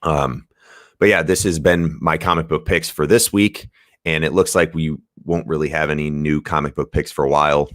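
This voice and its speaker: A young man with a raspy voice, chatting casually as host of a comics livestream, rambling and laid-back with some swearing. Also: rumbling low, steady tone, moderate pace, fairly bright tone, no room sound, studio quality. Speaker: podcast host.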